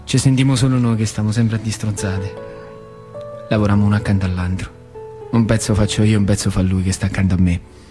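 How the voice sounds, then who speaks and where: A man's voice: on the low side, steady tone, a little fast, lightly acted. Man acting plain-spoken Lazio factory worker, music behind. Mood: wry, matter-of-fact. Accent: central Italian, rural Lazio. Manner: colloquial, repetitive, dwelling on words. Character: plain-spoken male worker-owner of a small factory, wistfully reminiscing. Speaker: stage actor in a solo narrative play. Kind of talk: theatrical monologue storytelling in colloquial dialect.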